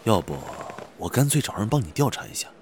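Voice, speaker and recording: A man's voice: fairly low pitch, very animated, quick pace, a little mellow, slight background noise. A man acting a gossipy, teasing young rich friend in an audio drama, with a casual way of talking. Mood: teasing, curious.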